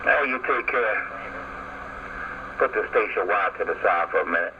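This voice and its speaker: A man's voice: lowish voice, expressive, normal speed, lo-fi sound. A man on CB radio repeating his call sign and giving brief friendly sign-offs. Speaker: CB radio operator. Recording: static-heavy CB radio skip signal.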